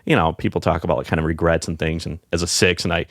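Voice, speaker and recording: A middle-aged man with a deep voice, a podcast guest talking in a casual, reflective way, rambling with many fillers and restarts. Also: flat delivery, very fast, dry recording, studio quality.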